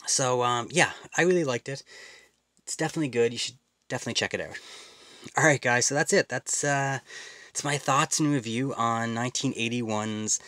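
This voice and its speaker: A man's voice: mid-range voice, moderate pace, fairly bright tone, dry recording. A man giving a casual spoken film review, rambling with many fillers and restarts.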